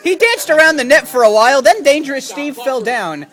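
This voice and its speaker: A high-pitched storyteller spins an improvised comic tale. The tone is bright and forceful, delivered as a mock storybook narration with absurd, profane humor. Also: expressive, fairly quick.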